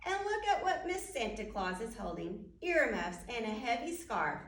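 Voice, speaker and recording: A woman's voice: fairly high pitch, sing-song, moderate pace, a bit bright. A woman reading a children's storybook aloud at storytime, speaking directly to young listeners and putting questions to them. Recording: roomy echo, distant microphone.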